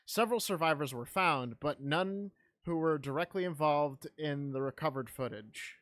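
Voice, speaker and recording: A man's voice: on the high side, moderate pace. A man giving casual, irreverent reaction commentary with profane jokes and reading text aloud. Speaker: reactor watching and commenting on a horror video. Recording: loud music behind the voice.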